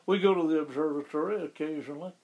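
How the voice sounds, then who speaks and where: A man being interviewed about the town where he lives, explaining things in a measured, conversational way. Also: on the high side, a little mellow, poor audio. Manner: measured, explanatory, with occasional restarts. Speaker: interviewee, local resident.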